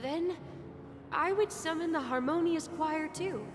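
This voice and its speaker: A woman voicing a gentle, thoughtful young boy in a game, soft, tender and measured. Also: high-pitched. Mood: tender, earnest. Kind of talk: scripted game voice acting. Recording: long reverb under music.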